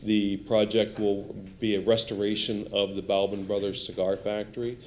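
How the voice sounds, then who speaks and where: A man speaking at a council hearing, hoarse and losing his voice, laying out points in order. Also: on the low side, little pitch movement, normal speed, a little mellow, slight background noise. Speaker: applicant's representative. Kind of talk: public testimony. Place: city council chamber.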